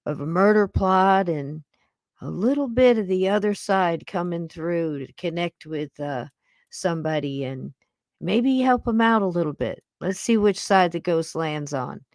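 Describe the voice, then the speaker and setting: A woman in her sixties with a fairly low voice hosts a podcast. She chats in a folksy, affectionate ramble, recorded close and dry. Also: measured pace, a little mellow, dry recording. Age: 60s. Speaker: podcast host. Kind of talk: casual chat to live listeners.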